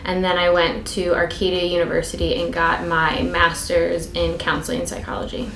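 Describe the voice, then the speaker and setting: American woman, about 30, low voice, counselor interview in an echoey room. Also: completely flat delivery, normal speed, gravelly, a bit bright. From Philadelphia, United States. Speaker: school counselor. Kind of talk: informal interview answers. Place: school meeting room, seated. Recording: echoey room, faint noise.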